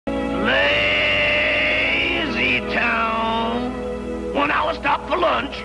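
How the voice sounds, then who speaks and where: A man voicing a drawling cartoon announcer in an old theatrical short, husky and gravelly. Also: extremely high pitch, drawn-out words, pushed and bright, dry recording, soft background music, exaggerated voice, poor audio. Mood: drawn-out.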